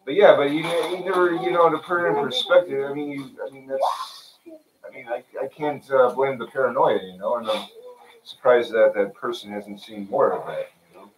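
A man's voice: medium pitch, moderate pace, crowd noise. Guy co-hosting, lots of i mean and you know, echoey basement with people chatting around. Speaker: podcast co-host. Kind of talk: casual podcast chat. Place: cluttered basement room indoors.

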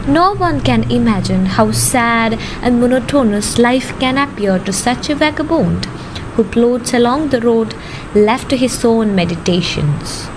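High-pitched young woman narrating, noisy background. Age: young adult. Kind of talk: scripted storytelling narration.

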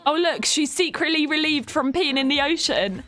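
A young woman streaming a life-sim game from home, chatting warmly with her viewers while stuffed up with a cold. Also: high voice, moderate pace, soft background music. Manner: chatty, affectionate toward chat, giggly. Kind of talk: live game stream chat.

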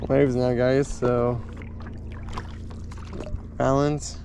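A man's voice: mid-range voice, flat delivery, extremely slow, vocal fry, dark tone. A man chatting casually to his viewers while paddling on a lake, rambling and repeating himself, recorded on an action camera mounted on the board. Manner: rambling, repeats words, keeps saying guys. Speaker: vlogger. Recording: outdoor camera audio with water noise. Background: water splashing and paddling.

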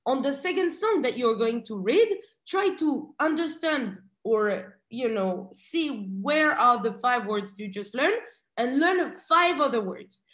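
A woman in her thirties, a guest on a video call interview, chatty and animated, telling stories from her bright room at home. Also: high-pitched, unhurried, reverberant. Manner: chatty and animated, laughs often, gestures with hands. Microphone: video call webcam.

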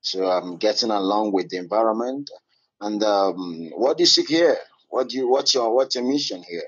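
A man with a foreign accent talks haltingly, filling gaps with ums and uhs. His voice is low and husky, heard over a muffled, lo-fi online call. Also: expressive. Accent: foreign English. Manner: hesitant, many fillers, halting. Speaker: caller.

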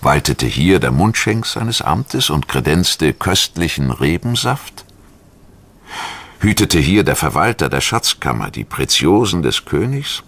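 Very deep, gravelly male documentary narrator. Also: very animated, measured pace, fairly bright tone. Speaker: documentary narrator and voice-over translator. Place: studio voice-over. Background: music.

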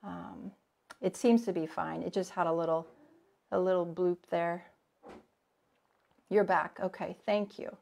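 A woman's voice: lowish voice, steady tone, unhurried, gravelly, pauses often, darkish tone. A woman in her forties teaching a live cooking demo from her home kitchen, chatting and greeting viewers by name as she works. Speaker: cooking instructor.

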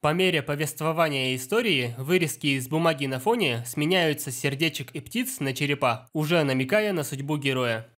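A man giving scripted voice-over commentary on an animated film, explaining scenes with enthusiasm. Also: fairly high pitch, brisk, hardly any pauses. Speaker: film review blogger.

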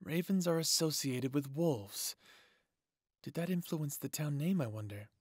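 A man's voice: fairly high pitch, animated, unhurried, breathy edge, no room sound, studio quality. A man playing an inquisitive, mildly sardonic young man in an audio drama, with a conversational delivery. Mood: curious. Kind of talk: audio roleplay drama acting.

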